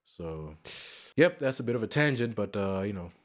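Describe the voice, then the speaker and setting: A young man speaking as a reaction video host, giving casual, off-the-cuff commentary in a measured, reflective way, with sentences that tail off. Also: lowish voice, very animated. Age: young adult.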